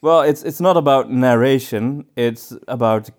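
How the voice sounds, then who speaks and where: Male podcast co-host, clear resonant voice, medium pitch, conversational, halting delivery, thinks aloud. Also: darkish tone.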